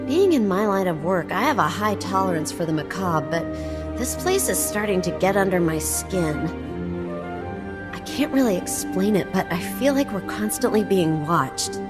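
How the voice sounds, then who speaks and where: A woman's voice: fairly low pitch, lightly acted. Wry, nerdy female explorer for a fantasy game played by a woman, bookish explainer, big reverb, music underneath. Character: wry, nerdy female explorer-scholar, quick with dark jokes. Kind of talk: scripted video game dialogue. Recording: long hall reverb, game music behind.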